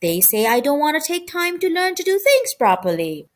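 A woman's voice: high voice, sing-song, normal speed, voice pushed up. A woman voicing a friendly, candid young boy in a children's storybook, eager to be older. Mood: confiding. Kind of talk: children's storybook character reading.

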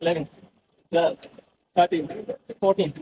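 A man's voice: on the high side, fairly even intonation, a little slow, mellow, husky, poor audio. A man in his twenties chatting casually and counting reps as he vlogs in a gym. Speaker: gym vlogger. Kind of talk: casual vlog chat and rep counting. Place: busy gym, indoors.

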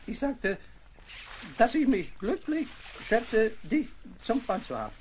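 A woman playing an earnest, cautious islander friend in an audio drama, speaking deliberately and expressively. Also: fairly high pitch, sing-song, darkish tone, a little hiss or hum. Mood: earnest, troubled.

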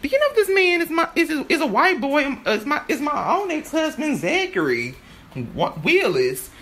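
A woman performing an improvised stage-play monologue, halting and stammering as she repeats her phrases. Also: sits high, huge pitch swings, a bit bright.

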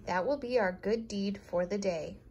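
An eager young bear cub from a children's storybook, put on by a woman reader. The cub is earnest and always ready to help, speaking in a slightly lifted pitch. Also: moderate pace, a little mellow, husky, muffled recording.

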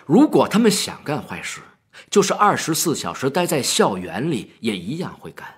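A man playing a composed, liberal-minded professor and department head in an audio story, reasoning in a measured, authoritative way. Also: mid-range voice, big pitch swings, slightly rough. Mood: calmly arguing.

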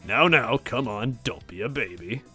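Man voicing a booming, swaggering monster king, pitched up. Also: lively intonation, moderate pace, sharp tone, slightly rough, soft background music. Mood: sly, goading. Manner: casual slangy speech with swaggering showmanship. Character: huge, bombastic, manipulative male monster king with a grumbling streak.

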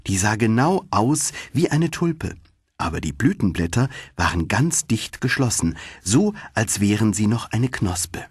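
A man narrating a fairy tale as a scripted, expressive audiobook reading. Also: lowish voice, a little slow, slightly rough, no room sound, studio quality.

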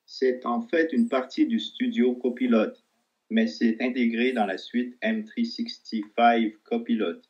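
A man's voice: medium pitch, fairly even intonation, moderate pace, a little mellow. A man's voice cloned by a synthetic interpreter, muffled. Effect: synthetic voice copy.

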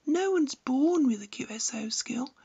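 A woman's voice: sits high, lively intonation, measured pace, vocal fry, mellow, slightly rough. A woman reading scripted instructional narration in a blunt, didactic manner. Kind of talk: scripted narration, tutorial with opinionated advice.

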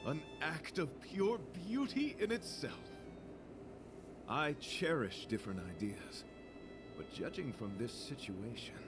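An actor playing a courteous, earnest young knight devoted to beauty, speaking in formal, florid phrases for a video game. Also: male voice, fairly high pitch. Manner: formal, florid, chivalrous delivery. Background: game music.

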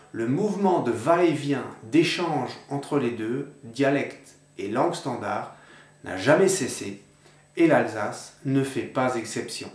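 A middle-aged man explaining to camera at a desk in an indoor room, in a measured, didactic way, addressing the viewer informally. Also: medium pitch. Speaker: video lecturer on the dialect. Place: indoor room with books on a table. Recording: roomy echo.

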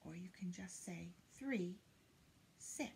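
An older woman reads a picture book aloud to young children, counting in a deliberate singsong and prompting them with questions. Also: on the low side, gravelly, warm tone, slight background noise. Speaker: children's teacher reading aloud. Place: indoors, small room lined with bookshelves.

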